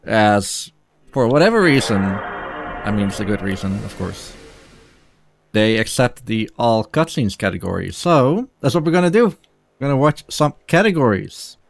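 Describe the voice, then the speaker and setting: A man's voice: on the low side, expressive, unhurried, creaky, dry recording, soft background music. A man giving casual live commentary as he presents his own video game speedrun.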